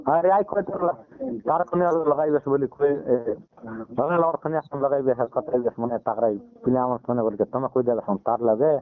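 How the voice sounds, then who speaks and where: A man's voice: medium pitch, fairly even intonation, brisk. A man with a Koraputia Odia accent in a casual telephone conversation. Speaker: man on a phone call. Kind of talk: casual phone chat. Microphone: telephone line. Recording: tinny narrow-band phone call.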